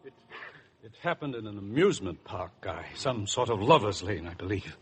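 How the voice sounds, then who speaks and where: An actor playing a grave, halting older senator and father in a 1950s radio drama. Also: on the low side, very animated, a little hiss or hum. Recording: old radio broadcast with music behind.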